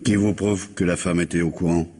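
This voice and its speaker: A curious junior detective in an old-style radio mystery. He speaks in a low, husky voice. He puts questions to his boss as a loyal assistant would. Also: steady tone, brisk, a little mellow. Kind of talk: 1950s French radio mystery acting.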